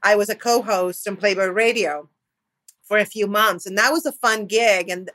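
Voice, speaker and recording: A middle-aged woman from Argentina, a comedian, chatting casually and candidly as a guest in an animated, jokey, sweary way. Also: mid-range voice, moderate pace.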